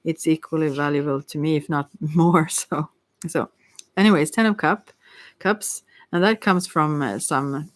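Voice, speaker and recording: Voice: mid-range voice, unhurried, no room sound. Hesitant tarot reader, very dry close sound. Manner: hesitant, rambling, musing delivery. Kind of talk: casual ASMR tarot reading.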